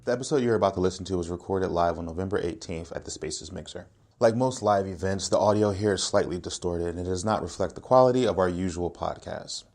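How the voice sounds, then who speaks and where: A man's voice: deep voice, fast talker, a little mellow, soft background music. A man reading a scripted introductory announcement for a podcast.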